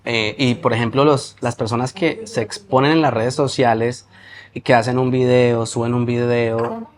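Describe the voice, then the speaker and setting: A man's voice: medium pitch, level tone, normal speed. Probing Latin American man interviewing. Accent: Latin American Spanish. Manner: probing, thinks aloud with many restarts. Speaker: interviewer and podcast host. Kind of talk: conversational interview.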